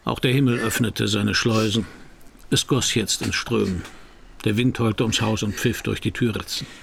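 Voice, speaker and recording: A world-weary private eye in a radio crime play. His low voice has a gravelly grain, and he speaks in terse, dry, laconic phrases. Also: steady tone, normal speed, fairly bright tone, slight background noise. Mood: wry, brooding. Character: weary, wry male private detective narrating his case. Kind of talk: noir radio drama narration and dialogue.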